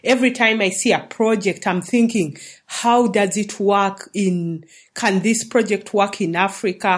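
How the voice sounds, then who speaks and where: Slow, earnest woman teaching. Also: mid-range voice. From Uganda. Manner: earnest, encouraging, unhurried. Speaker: show host. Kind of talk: faith-based teaching talk.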